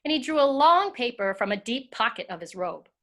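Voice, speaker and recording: An American woman serves as host and storyteller. Her voice sits fairly high as she narrates in a storybook reading style. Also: sing-song, brisk, a bit bright. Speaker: host and narrator, school teacher.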